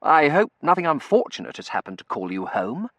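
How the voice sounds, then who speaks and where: A narrator voicing an earnest, courtly young gentleman suitor, formal and eager to please, in a storybook reading. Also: mid-range voice, big pitch swings, fairly quick, dry recording, voice pushed up. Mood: earnest, fervent. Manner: polite, formal, fervent.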